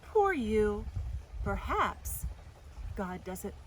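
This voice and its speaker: A woman voicing a sly, wheedling male serpent in a children's storybook, with drawn-out, insinuating delivery. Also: medium pitch, big pitch swings, rough recording. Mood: sly, mock-pitying. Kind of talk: children's storybook character reading. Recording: faint outdoor background noise.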